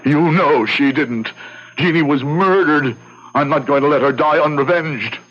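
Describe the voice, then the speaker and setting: An actor playing a guilt-ridden, hard-drinking young medical student in a radio horror drama, with brooding, formal, melodramatic delivery. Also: male voice, fairly high pitch, a little slow, a bit bright. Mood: grim, accusing. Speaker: actor and first-person narrator. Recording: muffled old radio recording with music.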